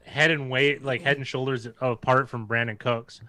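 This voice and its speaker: A man's voice: medium pitch, little pitch movement, normal speed, pushed and bright. A man co-hosting a casual sports watch-along, rambling loosely and conversationally as he talks. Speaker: sports livestream co-host.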